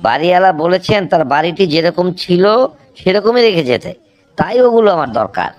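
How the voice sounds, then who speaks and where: An earnest cartoon young man explains himself slowly and patiently, a little exasperated, as a voice actor plays him. His voice moves through wide, animated swings. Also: medium pitch, muffled recording, lightly acted. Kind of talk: cartoon comedy dubbing.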